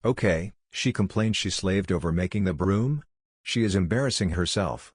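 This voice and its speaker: A man narrating forum posts and comments in a steady, even reading voice. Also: sits low, normal speed, breathy edge, dry recording, studio quality. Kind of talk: scripted narration.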